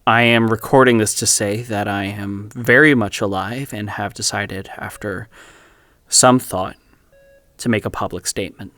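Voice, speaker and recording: Solemn male statement-giver, audio drama monologue, deliberate slow pace, lowish pitch, measured, grave. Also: fairly even intonation, a bit bright, no echo. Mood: solemn, troubled. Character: grave, deliberate man recording a public statement.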